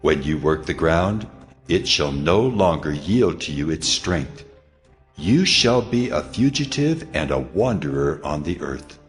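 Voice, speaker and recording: A man playing God as a solemn, commanding deity, grave and measured, in a dramatized scripture recording. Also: extremely low pitch, expressive. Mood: grave judgement. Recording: long reverb over music.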